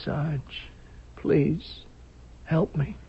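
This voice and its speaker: A man's voice: a little high, very slow, husky, exaggerated voice. A man playing a desperate small-time safecracker in a radio drama, stammering and pleading in streetwise slang. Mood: pleading, dejected. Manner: stammering, repetitive, wheedling pleas. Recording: dull lo-fi radio drama recording.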